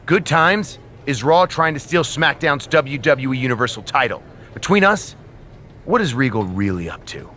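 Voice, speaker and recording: A smug, scheming wrestling brand boss. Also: male voice, mid-range voice, expressive, normal speed, bright, forceful tone, slight background noise. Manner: smug, needling, conspiratorial.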